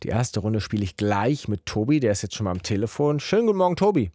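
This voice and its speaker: A man's voice: on the low side, very animated, fast, dark tone, no echo. A man hosting a radio show, chatting casually and informally with a caller from a studio. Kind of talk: casual radio phone-in chat. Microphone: close studio microphone.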